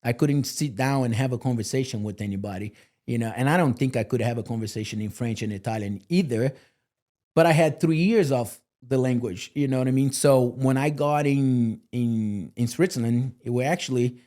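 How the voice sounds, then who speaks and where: Male guest, mellow and earnest, motivational, filler-heavy. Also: medium pitch, normal speed. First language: Portuguese. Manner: earnest, motivational, lots of "you know" fillers. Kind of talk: candid podcast interview.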